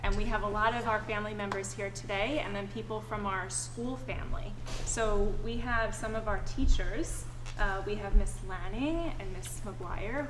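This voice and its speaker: A woman educator reading aloud and chatting with children in an animated, encouraging way. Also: medium pitch. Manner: encouraging, addresses children with endearments. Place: indoor school family event, live audience. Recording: echoey room with background noise.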